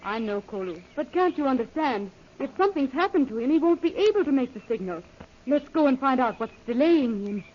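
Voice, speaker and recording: An actress playing an anxious, impatient young adventuress, fretful and pleading, in 1930s radio style. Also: female voice, high-pitched, lively intonation, fairly quick, slight background noise. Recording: dull lo-fi old radio recording.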